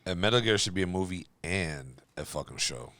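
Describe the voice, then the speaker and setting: A man's voice: low voice, expressive, a little fast, fairly bright tone, no room sound. A man hosting a casual podcast, speaking bluntly and swearing freely. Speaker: podcast host. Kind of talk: casual group podcast chat.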